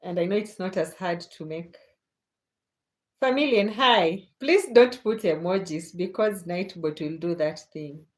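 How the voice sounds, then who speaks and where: A woman's voice: lowish voice, unhurried. A Kenyan woman hosting a casual late-night livestream, chatting unhurriedly with viewers and laughing often. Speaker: livestream host. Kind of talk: casual chat reading viewer comments.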